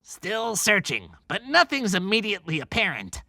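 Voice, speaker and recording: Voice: mid-range voice, wildly swooping pitch, normal speed, pushed and bright, no echo, exaggerated voice. An actor playing a cocky, tech-savvy sidekick creature with a snide, dismissive way of talking in a cinematic audiobook. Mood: smug.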